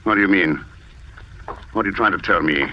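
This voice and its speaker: A man's voice: deep voice, brisk, close to an everyday voice. An actor playing a cool, calculating man in an old-time radio drama, speaking in an articulate, self-assured way. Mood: stunned. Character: cool, calculating detective writer, a vengeful father. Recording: noisy, dull old radio broadcast recording.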